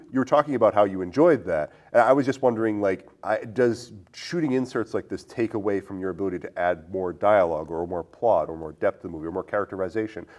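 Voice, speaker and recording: A man hosting a casual film discussion, asking probing questions in a rambling, animated way. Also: low voice. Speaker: podcast host.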